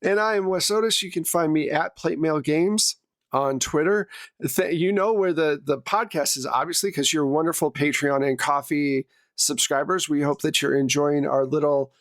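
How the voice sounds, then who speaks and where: A man with a somewhat high voice serves as game master and host. He narrates the tabletop game in a conversational, self-deprecating way. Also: normal speed, no room sound, studio quality.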